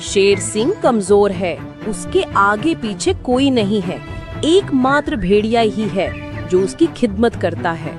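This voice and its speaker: A woman's voice: mid-range voice, sing-song, slow. A woman voicing a cunning, manipulative female fox in a cartoon, with dramatic delivery. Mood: scheming. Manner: sly, manipulative, theatrically dramatic. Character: cunning scheming female fox plotting to rule jungle. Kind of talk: Hindi cartoon voice acting. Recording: background music behind the voice.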